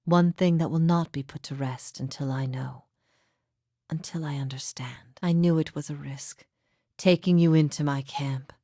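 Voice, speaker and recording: A woman voicing a grave, forgiving heretic teacher in a fantasy game, speaking in a solemn, measured, reflective way. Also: sits low, steady tone, dark tone, no room sound, clean studio sound. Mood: regretful. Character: grave, forgiving female heretic teacher, long imprisoned, philosophical. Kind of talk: scripted fantasy game dialogue.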